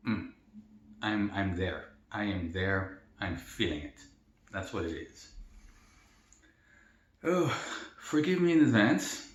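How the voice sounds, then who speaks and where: Young man, from El Salvador, low voice, casual Q&A vlog, rambling, lots of fillers, roomy echo. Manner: relaxed and rambling, many fillers. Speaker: mukbang vlogger. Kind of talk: casual Q&A while eating.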